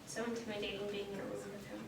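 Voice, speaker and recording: An actress playing a friendly, candid young woman in a stage play, confiding in a colleague with gentle reassurance. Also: medium pitch, flat delivery, racing, close to an everyday voice. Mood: reassuring, confiding. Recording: echoey stage, distant mic, faint noise.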